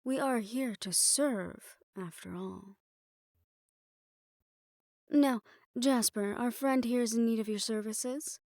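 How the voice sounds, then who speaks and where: She is the poised female manager of a secretive hotel for assassins, acted by a woman in an audio roleplay. She speaks slowly and formally, every courtesy edged with condescension, in a close, dry recording. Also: a little high, darkish tone, no echo. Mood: courteous, composed. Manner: formal, measured, politely condescending. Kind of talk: scripted audio roleplay addressed to the listener.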